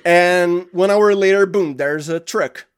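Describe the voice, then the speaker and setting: Brazilian male guest, Portuguese native, slightly high voice, halting conversational delivery with frequent restarts. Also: clear, resonant tone. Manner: halting, restarts phrases often, chuckles. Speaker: sound designer, interview guest. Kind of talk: casual interview chat.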